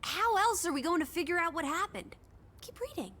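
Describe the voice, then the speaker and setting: A woman's voice: extremely high pitch, expressive, brisk, fairly bright tone. Playful, teasing young female companion. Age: young adult. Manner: playful, breezy, coaxing. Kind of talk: naturalistic video game voice acting.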